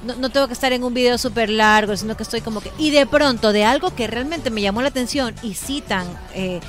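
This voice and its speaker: A woman's voice: fairly high pitch, brisk. A woman, talking casually as a co-host in a radio studio. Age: 40s. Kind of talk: casual radio panel chat. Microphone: studio broadcast microphone. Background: faint music bed.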